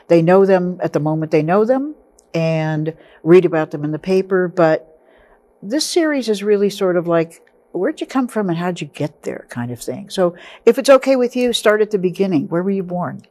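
A woman's voice: on the low side, animated, moderate pace, a little mellow. A woman hosting a studio interview show, friendly and teasing, introducing her guest and asking about her early life. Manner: friendly, teasing, laughs easily. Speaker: talk show host. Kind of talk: hosted interview. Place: TV studio set.

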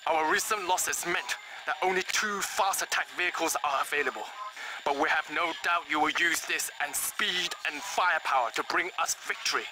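Male actor as army commander, high-pitched, formal, solemn, patriotic, game briefing, noisy background. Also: moderate pace, natural voice. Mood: commanding. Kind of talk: military briefing in a video game. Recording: clear background noise.

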